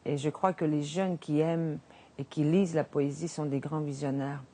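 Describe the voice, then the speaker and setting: Woman poet, Innu native speaker, low voice, slow gentle delivery. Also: fairly even intonation. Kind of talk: reading poetry and speaking in an interview.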